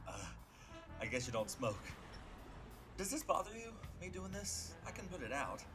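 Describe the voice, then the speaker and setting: A man's voice: high-pitched, very animated, normal speed, over music, pitched above the performer's own voice. A man voicing a brash but friendly streetwise hitman in a comic dub, talking in a casual, slangy, blurting way. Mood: reassuring. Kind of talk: dramatic comic-book dub dialogue.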